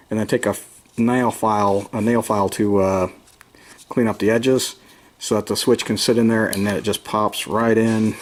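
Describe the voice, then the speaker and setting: A man giving an unscripted, halting step-by-step installation walkthrough, explaining as he works. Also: mid-range voice. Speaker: DIY vlogger. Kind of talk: hands-on installation walkthrough, explaining as they work. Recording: clearly noisy location recording with ambient sound.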